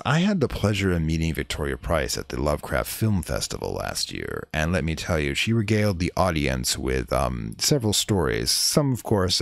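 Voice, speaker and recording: A man's voice: a bass voice, gravelly, no room sound. A man hosting a show, giving casual commentary in an outro. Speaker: podcast host.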